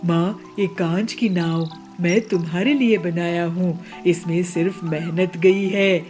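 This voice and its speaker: A woman plays a devoted young son bird in a children's cartoon fable. The voice sits a little low and moves slowly. The delivery is gentle and earnest. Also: fairly even intonation, soft background music, close to an everyday voice. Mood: earnest, proud. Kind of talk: cartoon moral story acting.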